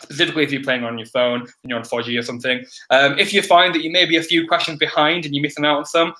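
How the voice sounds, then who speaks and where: A man's voice: fairly high pitch, fairly even intonation, racing, bright, forceful tone. A man hosting an online quiz, giving chatty instructions full of ums, his voice a little crackly and throaty. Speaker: quiz host. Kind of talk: live-stream housekeeping announcements.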